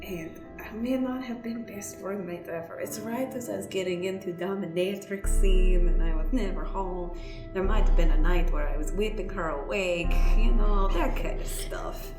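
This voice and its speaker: A woman's voice: medium pitch, moderate pace, close to an everyday voice. A breezy middle-aged lady lawyer, played by a woman, confessional and earnest, with a mid-range voice. It is improvised radio comedy in a slightly echoey space with faint music. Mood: flustered, confessional.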